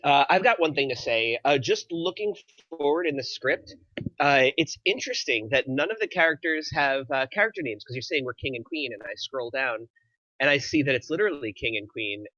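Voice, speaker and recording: A man with a bright, forward voice and animated pitch chats casually in an online group. The sound is a dull, lo-fi voice chat. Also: brisk, dry recording. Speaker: table read participant reading narrator.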